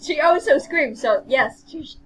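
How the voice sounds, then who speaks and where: A woman's voice: high voice, animated, normal speed. A woman co-hosting an amateur read-along stream with casual, joking commentary, playfully repeating words and phrases.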